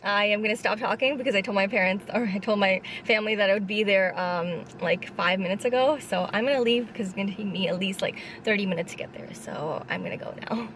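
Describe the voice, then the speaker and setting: A woman's voice: medium pitch, fast, hardly any pauses, a bit bright, slight background noise. A young woman vlogging casually, chatting upbeat with lots of fillers, filmed on a handheld camera. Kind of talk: casual vlog chat. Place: shopping mall and home living room. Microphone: handheld camera close up.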